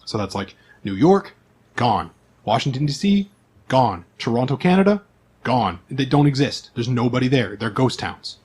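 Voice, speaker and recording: A man's voice: medium pitch, very animated, moderate pace, a little mellow, husky. A man speaking as game master, explaining the game's setting to his players in an informal, conversational way.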